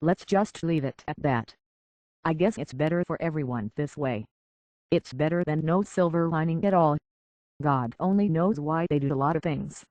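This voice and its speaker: A man's voice: a little high, moderate pace, darkish tone, no room sound, lightly acted. A man playing a world-weary, decent android detective in a video game, speaking in a wry, plainspoken, reflective way on slightly dull audio. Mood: wry, easygoing. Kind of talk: video game character dialogue.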